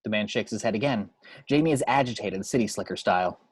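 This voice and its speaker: A man's voice: lowish voice, quick pace, slightly rough, no echo. A man reading screenplay action and scene headings as narrator for a table read at home. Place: at home, dry close recording.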